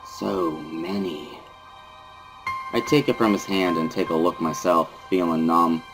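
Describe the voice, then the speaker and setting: A man's voice: low-pitched, fairly even intonation, a little slow, over music. A man giving a somber, weary first-person dramatic reading of a visual novel as narrator.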